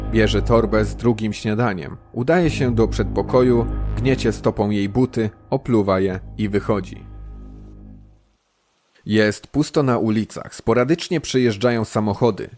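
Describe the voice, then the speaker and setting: A man narrates a crime audiobook in a low voice over music. His delivery is matter-of-fact, moving through short, clipped sentences. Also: darkish tone. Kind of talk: scripted crime drama narration.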